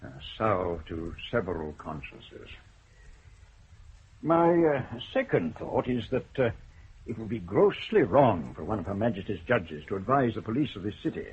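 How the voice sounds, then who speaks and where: A stern older judge with a dry, ironic turn of phrase. His voice is very husky and his pitch moves about a great deal as he weighs each word. It sounds like an old radio broadcast with narrow, muffled sound. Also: big pitch swings, heavily acted. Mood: measured. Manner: measured, wry, deliberate. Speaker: senior judge. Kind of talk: 1970s BBC radio drama acting.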